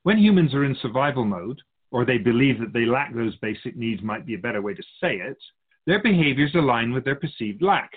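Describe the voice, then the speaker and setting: An actor playing an otherworldly keeper of souls in a radio drama, patiently teaching humans in a measured, all-knowing way. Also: male voice, medium pitch, breathy edge. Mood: patient, instructive. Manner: didactic, measured explaining, speaks of humans as outsider. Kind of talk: scripted radio drama dialogue. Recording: dull phone-like narrow sound.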